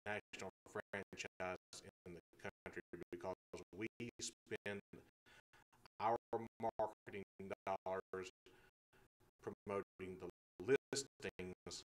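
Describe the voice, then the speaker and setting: Man, middle-aged, slow and deliberate, low voice, faint hiss. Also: little pitch movement, poor audio. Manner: slow, measured, halting with fillers. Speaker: real estate and auction guest. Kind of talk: explaining his business on a local talk show. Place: small office meeting room, indoors. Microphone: table microphones, far camera.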